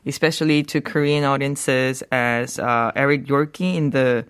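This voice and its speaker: A man's voice: medium pitch, steady tone, moderate pace, a bit bright, smooth, no echo. A man discussing films on a radio talk show, agreeable and hesitant, with frequent short affirmations. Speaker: film commentator, show regular.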